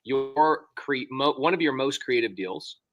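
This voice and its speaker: A man hosting a casual interview over a video call, talking with eager, excitable enthusiasm on a close studio microphone. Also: medium pitch, measured pace, no echo. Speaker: host.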